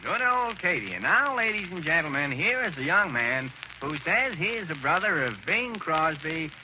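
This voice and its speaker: Man putting on a high, showy old radio emcee. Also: big pitch swings, normal speed, over loud music. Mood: genial showmanship. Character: impression of a genial amateur-hour radio host. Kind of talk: 1930s radio comedy impersonation. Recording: noisy, dull 1930s radio recording.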